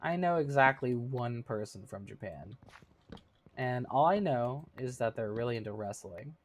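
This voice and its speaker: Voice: medium pitch, expressive, normal speed, a little hiss or hum. Chatty thirty-year-old streamer. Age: 30s. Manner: chatty, laughs often, many fillers. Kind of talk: casual live-stream chat with viewers.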